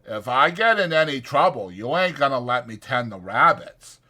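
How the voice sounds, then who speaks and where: Big childlike farm laborer performed by a male reader, slow-witted and pleading, bright, swooping rustic delivery. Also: medium pitch, sing-song, pitched above the performer's own voice, heavily acted. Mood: worried. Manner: pleading, slow-witted, rural American dialect. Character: childlike, timid, simple-minded big male ranch hand.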